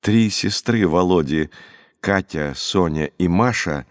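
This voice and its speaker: A man with a low voice reads a literary story as an audiobook narrator. The delivery is scripted storytelling, and the recording is very dry. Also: slow pace, no room sound.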